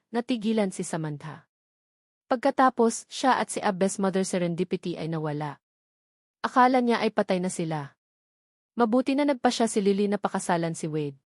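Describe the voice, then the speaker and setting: Woman reading out a novel, audiobook style, middle pitch, really dry recording. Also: a little mellow, no room sound. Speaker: audiobook narrator. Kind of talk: scripted novel narration.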